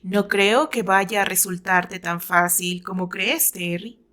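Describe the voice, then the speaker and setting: A woman's voice: medium pitch, steady tone, takes it slowly, sharp tone. A curious young man played by a woman storyteller in a romantic audio tale. He sounds inquisitive and joking, a friend who likes to tease. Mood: teasing. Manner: teasing, inquisitive.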